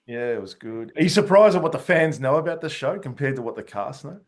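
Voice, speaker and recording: A man's voice: mid-range voice, animated, fast talker. A man hosting an interview podcast, opening with a read introduction and then asking friendly, keen questions. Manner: enthusiastic, with a scripted introduction. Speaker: podcast host.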